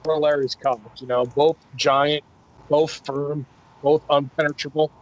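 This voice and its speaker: A man's voice: on the high side, little pitch movement, measured pace, highs cut off. A man hosting a podcast, who mocks and swears in casual banter. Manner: mocking, profane.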